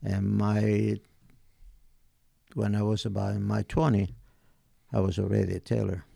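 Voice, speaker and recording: An Italian man of eighty with an Italian accent, telling his life story in halting, hesitant English as an interview guest. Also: fairly low pitch, flat delivery, gravelly, warm tone, dry recording. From southern Italy. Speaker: podcast guest, retired tailor.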